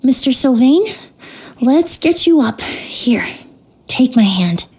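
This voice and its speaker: A woman voicing a calm, composed young investigator in a video game, speaking in a measured, gentle way. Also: high voice, breathy edge, full character voice. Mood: reassuring.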